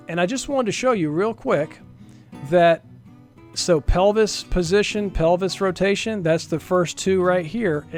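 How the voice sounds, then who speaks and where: Guy with a bit of a higher voice doing a laid-out programming demo, casual explainer, stresses important bits, faint guitar music behind. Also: a little mellow. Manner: casual, explanatory, emphatic on key points. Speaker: software developer presenting a demo. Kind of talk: informal screen-share programming tutorial. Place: indoors, screen recording voice-over.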